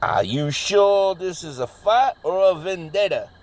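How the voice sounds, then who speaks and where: Man acting high-pitched, swooping, exasperated comic guy. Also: unhurried. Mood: folksy concern. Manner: expressive, exasperated. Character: excitable, exasperated men: hillbilly and pizzeria owner brother. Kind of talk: scripted comedy audio drama acting.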